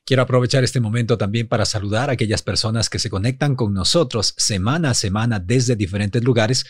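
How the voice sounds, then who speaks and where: A man with a fairly neutral Ecuadorian Spanish accent, co-hosting a podcast in a smooth, broadcaster-trained manner. His voice sits low, and the sound is dry and close, with no room echo. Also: a little fast. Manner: polished, broadcaster-like delivery. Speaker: podcast co-host. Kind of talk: business podcast conversation.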